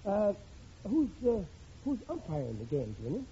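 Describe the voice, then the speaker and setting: A man's voice: high voice, huge pitch swings, moderate pace, dark tone. An actor playing a boastful, bumbling middle-aged father in an old radio sitcom, blustering and stammering when cornered. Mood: eager. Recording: muffled, hissy vintage radio recording.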